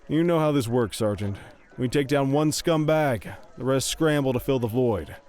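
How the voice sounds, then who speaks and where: A man's voice: mid-range voice, moderate pace, darkish tone, lightly acted. Man playing a stern, paternal police captain. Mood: firm, commanding. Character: stern, paternal male police captain, middle-aged or older. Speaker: police chief addressing officers. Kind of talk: dramatic video game cutscene acting.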